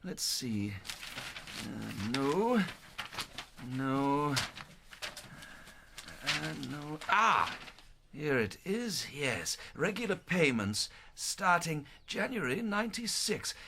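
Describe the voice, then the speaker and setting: A man's voice: fairly high pitch, big pitch swings, drawn-out words, long pauses, noisy background, full character voice. A man playing a chatty, genial official of an actors' charity in a radio drama, talking in a folksy, confiding way.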